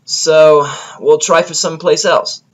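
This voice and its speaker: A man puts on a young cartoon pegasus mare for an audio drama. The voice sits high and pushes forward with brash, eager energy. Lines come out as lively exclamations. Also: takes it slowly, poor audio. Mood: decisive.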